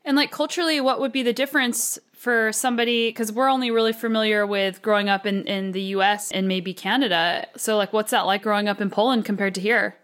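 A woman's voice: medium pitch, fairly even intonation, fast talker, hardly any pauses, a bit bright. A woman hosting a podcast, talking in an informal, chatty way as she introduces and interviews. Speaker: podcast host.

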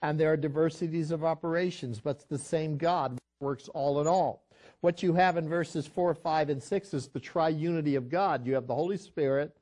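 A man's voice: on the high side, moderate pace, a little mellow. An older man, a pastor, teaching a Bible study in a conversational sermon style, telling a story earnestly with frequent restarts.

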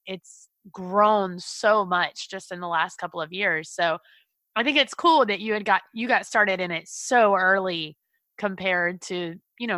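A woman's voice: mid-range voice, pushed and bright, no room sound. A woman hosting a podcast, chatting in an upbeat, gushing way. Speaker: podcast host. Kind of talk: casual interview chat.

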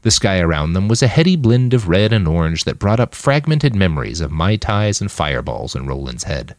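A man reads scripted fiction as narrator. His voice sits low and moves widely in pitch, and the recording is dry with no audible room. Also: big pitch swings, brisk, dry recording. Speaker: audiobook narrator.

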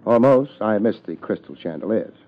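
Man acting a low-voiced wry American private eye. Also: lively intonation, normal speed, lightly acted. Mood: cool, probing. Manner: dry, wisecracking. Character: laid-back, wry, tough private detective. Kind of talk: 1950s radio detective acting, narrating and in dialogue. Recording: dull vintage 1950s radio recording.

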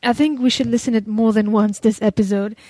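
A woman's voice: on the high side, steady tone, fairly quick, warm tone, no echo. Woman host, enthusiastic, dry close sound. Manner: enthusiastic, attentive listener. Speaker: podcast host. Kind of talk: podcast interview.

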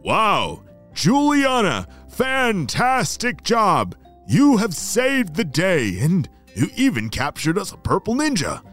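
A man's voice: high-pitched, huge pitch swings, slow, fairly bright tone, faint music behind, pitched above the performer's own voice, exaggerated voice. A man voicing a proud, reassuring spy agent from headquarters in a children's adventure story. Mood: proud, impressed. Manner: praising, reassuring.

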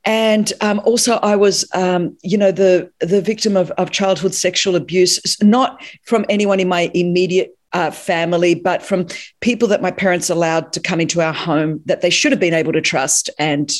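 A woman in her fifties with an Australian accent, telling her life story as an interview guest, animated and often laughing. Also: on the low side, normal speed. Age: 50s.

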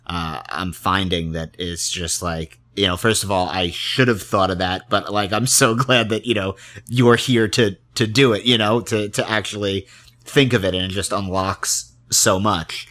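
A man hosting a relaxed interview chat, agreeable and a little halting as he talks. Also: low-pitched. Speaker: podcast host and interviewer.

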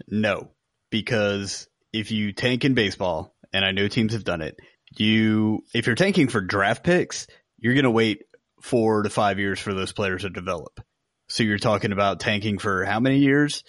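A man's voice: on the low side, moderate pace, fairly bright tone, dry recording, clean studio sound. A man co-hosting a podcast and chatting casually with the panel. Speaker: podcast co-host.

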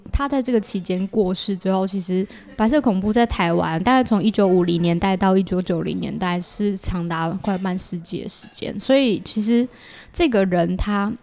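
A woman's voice: medium pitch, creaky, a little mellow. A woman with a Taiwanese Mandarin accent talks as an interview guest, explaining casually and thoughtfully, often qualifying and correcting herself.

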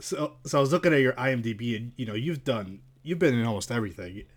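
A male podcast host tosses out short, friendly questions between his guest's long answers. He talks quickly at an ordinary middle pitch. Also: expressive. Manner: brief, friendly prompts and laughing reactions. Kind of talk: casual interview questions.

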